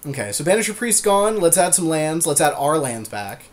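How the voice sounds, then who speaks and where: A man with a somewhat higher voice trades quick, easygoing back-and-forth with his co-host. He agrees often and thinks through options in plain casual talk. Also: sing-song. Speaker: podcast co-host. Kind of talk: casual deckbuilding chat.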